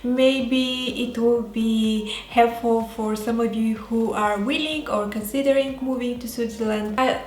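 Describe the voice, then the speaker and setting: A woman with a Bulgarian accent talking casually as a vlogger, in a halting way that often pauses mid-sentence. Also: fairly high pitch, fairly even intonation, a little mellow. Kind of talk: casual talk to camera. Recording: roomy echo, faint music behind.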